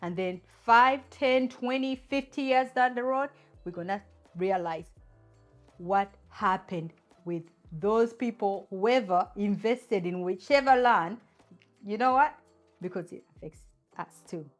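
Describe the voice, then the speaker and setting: A woman's voice: mid-range voice, a little slow, soft background music. A woman from Uganda hosts her own channel and speaks straight to camera, making emphatic points and asking many rhetorical questions. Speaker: channel host. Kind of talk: opinion talk to camera.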